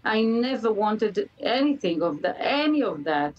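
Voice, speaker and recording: A middle-aged woman with an Israeli accent speaks haltingly and earnestly in an interview, repeating words for emphasis. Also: medium pitch, big pitch swings, distant mic, muffled recording. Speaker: interview guest, mother of a hostage. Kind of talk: emotional live TV interview. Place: indoor room, live remote video link. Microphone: computer video call.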